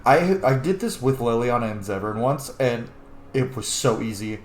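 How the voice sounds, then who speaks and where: A man's voice: mid-range voice, moderate pace. Guy doing chill let's play chat, swears a bit, echoey room, faint noise. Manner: informal, sweary. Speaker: gaming channel host. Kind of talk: casual let's play commentary. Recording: roomy echo with faint noise.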